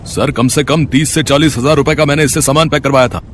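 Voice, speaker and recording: A man's voice: medium pitch, steady tone, fast talker, a bit bright, natural voice. A man playing a wealthy, charitable merchant with a businesslike manner in a moral story. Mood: indignant. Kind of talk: moral-story cartoon acting. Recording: faint background noise.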